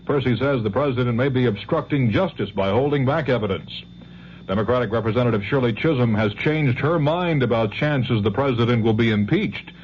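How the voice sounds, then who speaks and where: A man reading the hourly news as a network anchor, in a crisp, formal delivery. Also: medium pitch. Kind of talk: newscast reading. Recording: dull 1970s radio broadcast with faint hum.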